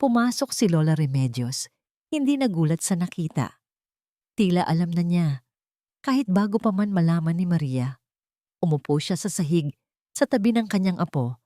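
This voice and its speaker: A woman's voice: fairly low pitch, a little mellow, no room sound, studio quality. A woman narrating a scripted dramatic story.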